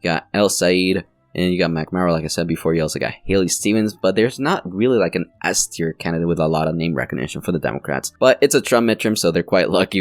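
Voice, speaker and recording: A man's voice: sits low, no echo. A man hosting a casual election prediction channel, rambling and correcting himself as he talks, his voice a little strained and sore. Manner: rambling, self-correcting, with frequent fillers. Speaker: political channel host.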